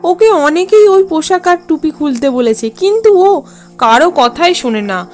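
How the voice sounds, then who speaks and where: Lady playing disapproving village mom in a cartoon, high voice, firm and emphatic. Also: animated, moderate pace, a little mellow, faint music behind. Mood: dismissive. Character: firm, disapproving middle-aged village mother. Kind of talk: cartoon fairy-tale acting.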